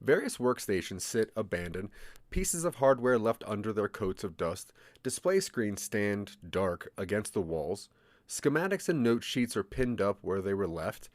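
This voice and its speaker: Male narrator for scripted interactive fiction: lowish register, very varied intonation, dramatic and deliberate. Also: very animated, no echo. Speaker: narrator of a branching audio drama. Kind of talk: scripted second-person story narration.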